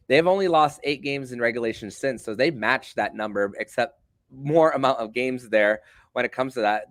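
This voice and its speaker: A man's voice: mid-range voice, no echo. Male sports podcast host, dry close sound.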